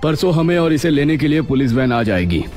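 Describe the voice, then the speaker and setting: A man's voice: fairly high pitch, very animated, brisk, a little mellow, faint music behind, voice pushed up. A man playing a resolute, commanding undercover police inspector in a story. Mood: firm.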